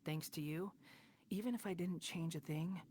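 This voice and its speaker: A man's voice: a little high, moderate pace, slight background noise, close to an everyday voice. Man acting an arrogant, bitter young anime man. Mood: subdued, wry. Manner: haughty, sardonic, sharp-tongued. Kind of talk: anime video game acting. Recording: loud game music behind the voice. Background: loud music.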